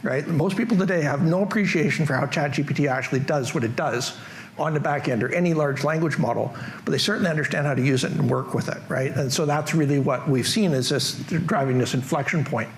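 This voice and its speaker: A man's voice: a little high, quick pace, a little hiss or hum. A middle-aged man with a Canadian accent giving a casual, joking tech conference talk in a large hall. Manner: casual, joking, self-deprecating. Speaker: conference presenter.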